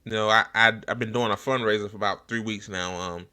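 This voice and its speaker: American man, lowish pitch, bright forceful tone, casual talk show host, blunt, slangy, profane, halting and repetitive. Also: steady tone, clean tone. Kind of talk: casual unscripted political commentary.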